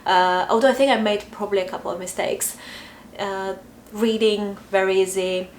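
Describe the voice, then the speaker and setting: A woman's voice: mid-range voice, steady tone, moderate pace. Brief: young woman vlogger, Bulgarian accent, hesitant and chatty, roomy echo, faint background hum. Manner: chatty, hesitant, many fillers. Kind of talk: casual talk to camera. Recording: echoey room, faint ambience.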